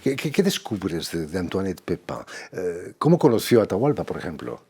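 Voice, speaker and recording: A man's voice: low voice, big pitch swings, fairly quick, a little mellow, husky. A male radio interviewer who prompts his guest and ends many remarks with a tag question.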